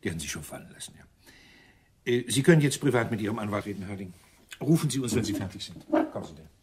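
A man's voice: lowish voice, animated, quick pace, very noisy, natural voice. A male actor playing a dry, sardonic police inspector in a German radio crime play, ironically polite. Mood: dryly official.

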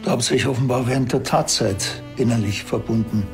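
An actor playing a gruff, dry-witted police detective, curt and deadpan, in a TV crime comedy. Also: male voice, medium pitch, brisk, music in the background, natural voice. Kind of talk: television crime comedy acting.

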